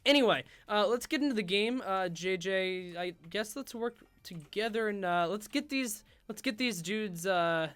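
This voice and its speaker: High-voiced young man, hoarse and rambling. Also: lively intonation, normal speed, few pauses, a bit bright. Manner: rambling, filler-heavy, self-interrupting. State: losing his voice. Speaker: host. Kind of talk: casual livestream chat while gaming.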